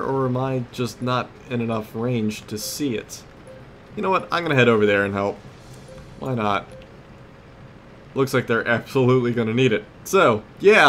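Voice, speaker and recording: A man's voice: medium pitch, slight background noise. A man giving casual, rambling gameplay commentary as host of a gaming series, often losing his train of thought.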